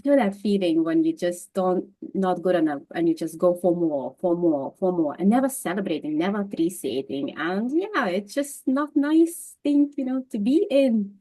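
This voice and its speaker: A woman's voice: lowish voice, normal speed, few pauses, dark tone, low-quality recording. A woman with a Lithuanian accent, a nutritional therapist speaking as the guest in a casual interview over a video call, laughing often and repeating words. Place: home in Dublin, video call.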